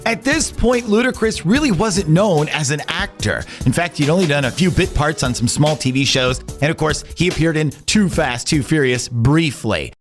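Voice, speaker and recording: A male radio host telling a story on his podcast with emphatic, drawn-out delivery. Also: fairly high pitch, animated. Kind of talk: storytelling narration. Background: background music.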